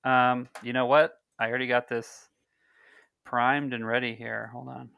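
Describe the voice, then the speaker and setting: A man's voice: medium pitch, level tone, unhurried, vocal fry, fairly bright tone, clear, resonant tone, dry recording, studio quality. A man in his thirties hosting a podcast over a video call on a headset mic, chatting loosely and reading news and sponsor copy with small slips. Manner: loose, rambling, reads aloud with small slips. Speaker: podcast host. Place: home room on a video call.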